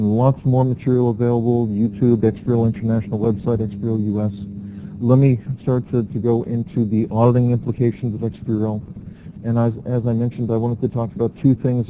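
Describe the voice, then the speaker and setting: An American man giving a university lecture, explaining step by step with frequent filler pauses. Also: mid-range voice, phone-quality sound, noisy background.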